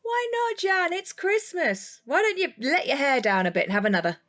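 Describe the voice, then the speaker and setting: Sociable, blunt woman next door who likes to tease, slightly high voice, audio drama. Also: sing-song, normal speed, a bit bright. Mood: teasing, upbeat. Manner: blunt, playful. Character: frank, teasing, sociable neighbour woman, married.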